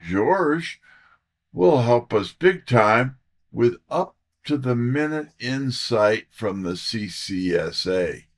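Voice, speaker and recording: A man's voice: medium pitch, lively intonation, slow pace. A man playing a wisecracking, street-smart detective's sidekick, narrating a hard-boiled audio drama in the first person. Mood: skeptical.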